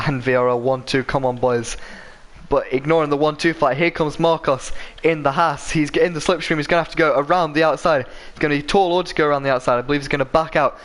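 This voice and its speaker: A man giving live commentary on an online racing league, his voice croaky from a sore throat, rambling a bit and correcting himself with plenty of ums and uhs. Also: on the high side, fairly bright tone. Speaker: race commentator.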